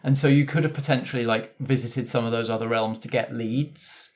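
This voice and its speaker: A man's voice: medium pitch, fairly even intonation, fairly quick. A British man, the game master, talking casually through a tabletop game in long, looping explanations.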